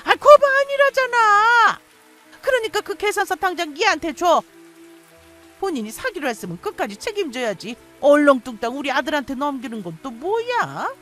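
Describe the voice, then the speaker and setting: A woman's voice: sits high, very animated, moderate pace, bright, forceful tone, soft background music, full character voice. A woman playing an indignant, domineering middle-aged mother-in-law in a radio story, scolding with accusatory questions. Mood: indignant, pushy. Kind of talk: radio story drama acting.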